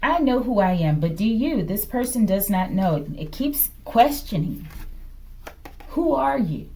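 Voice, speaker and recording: Woman tarot reader with lively, swooping pitch. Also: unhurried. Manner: fragmented delivery, murmuring to self, often ending phrases with a questioning "okay?". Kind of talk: improvised tarot reading to camera.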